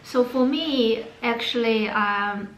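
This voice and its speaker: A young woman with a Chinese accent talks slowly and haltingly, with many pauses and fillers. Her voice rings in an echoey room over a faint hum. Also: on the high side. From China. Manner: hesitant, many fillers and restarts. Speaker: vlogger. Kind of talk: casual talk to camera about language learning.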